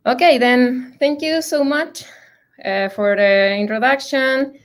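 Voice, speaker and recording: A woman's voice: high voice, takes it slowly. Chilean lady lecturing over a video call, friendly, lots of ums. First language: Spanish. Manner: friendly, frequent fillers. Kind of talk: online lecture.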